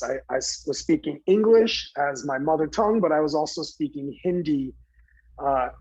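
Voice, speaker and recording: A man from New Jersey earnestly recounting a personal story in conversation, halting, with many fillers and restarts. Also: a little high, a little mellow, breathy edge, highs cut off. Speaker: guest speaker.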